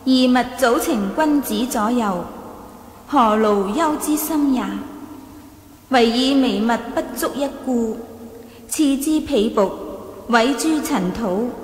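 A woman playing a sly, self-assured young noblewoman in a radio drama, playful and deliberate, with a Hong Kong Cantonese accent. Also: fairly high pitch, slightly rough. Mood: formal reciting.

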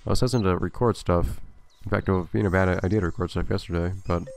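A man's voice: deep voice, fairly even intonation, racing, vocal fry, darkish tone, dry recording. A man commentating a gameplay video casually, thinking aloud with a slightly nasal, stuffy voice. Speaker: let's play host.

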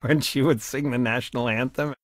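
Middle-aged father, played by a man: gentle, regretful, conversational, mid-range voice, close dry game recording. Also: medium pitch, fairly even intonation, a little fast, dry recording, natural voice. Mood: tender, wry. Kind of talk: video game voice acting.

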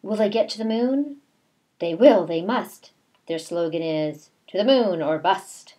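Low-pitched woman reading a picture book. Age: middle-aged. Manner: deliberate, expressive teacherly reading. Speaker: kindergarten teacher reading story time. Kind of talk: read-aloud picture book with asides to children. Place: small bedroom at home, indoors.

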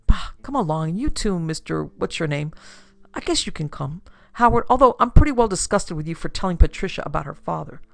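A narrator voicing a brisk, bossy young society woman who speaks with scornful impatience in an audiobook. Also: medium pitch, animated, vocal fry, a bit bright, no room sound. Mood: brisk, dismissive.

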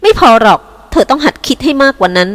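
Woman doing an anxious scheming mother, pleading, urgent, a little higher. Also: animated, fast talker, fairly bright tone.

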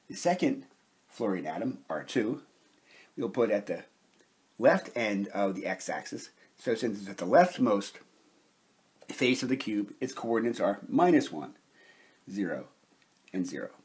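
A man with a slightly husky voice giving a measured, methodical lecture, explaining step by step as an instructor. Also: lowish voice. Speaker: chemistry instructor.

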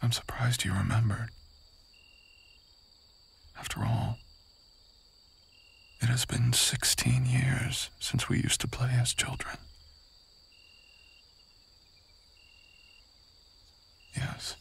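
A man playing a menacing, bitter, seductive samurai in an intimate ASMR roleplay, husky and breathy, often dropping into a whisper, with a taunting manner. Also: medium pitch, steady tone, slow pace, long pauses, a little hiss or hum. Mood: guarded, cold.

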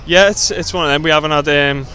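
A man's voice: a little high, fast, bright, forceful tone. A man in his twenties, a footballer giving casual answers in a post-match interview. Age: 20s. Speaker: professional footballer. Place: outdoors in a stadium car park. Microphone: handheld interview microphone. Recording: outdoor recording with background noise.

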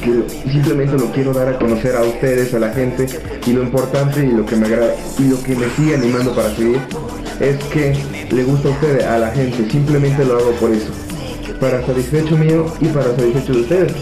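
A sixteen-year-old Mexican boy from Puebla, talking softly and hesitantly into a webcam in a small office, with many fillers. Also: medium pitch, fairly even intonation, a little fast. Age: teens. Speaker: young reggaeton artist. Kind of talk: self-interview to webcam. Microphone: webcam at desk. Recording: echoey room with music behind.

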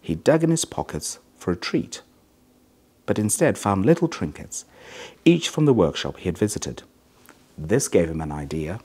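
A middle-aged man with a very low, rough-edged voice reads a children's story. His delivery is measured and expressive, with big swings of pitch from phrase to phrase. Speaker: hospital chief executive reading a children's story. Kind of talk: scripted storybook reading.

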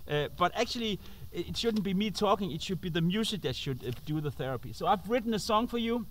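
High-voiced Danish man, halting English. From Denmark. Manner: halting, searching for English words. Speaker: host and musician. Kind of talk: informal talk to camera. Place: outdoors on an open roadside.

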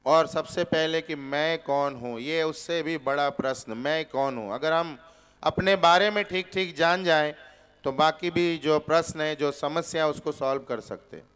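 A man in his forties giving a spiritual discourse in a temple hall, teaching slowly and deliberately with rhetorical questions into a stand microphone. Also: a little high, fairly bright tone, clean tone. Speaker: preacher. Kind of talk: Gita discourse. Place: temple hall with seated audience, indoors.

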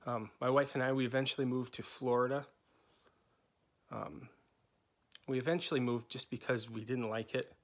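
A man in his thirties from New England introducing himself as a candidate, talking loosely with many pauses and restarts, filmed on a phone. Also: medium pitch. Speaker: candidate for chapter coordinator. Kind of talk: unscripted campaign introduction. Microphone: phone held at arm's length.

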